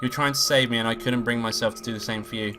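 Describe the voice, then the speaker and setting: A man with a nasal cold reading the lines of a calm, guarded young female detective in his own plain voice. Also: mid-range voice, rapid-fire, noisy background, natural voice. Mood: subdued. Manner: read flatly in his own voice. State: sick and nasal. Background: game music.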